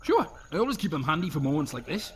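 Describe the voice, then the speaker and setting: Bumbling, jokey male space officer, animated. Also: fairly high pitch, quick pace, a little hiss or hum. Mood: breezy, matter-of-fact. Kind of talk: audio drama comedy acting, partly reading aloud.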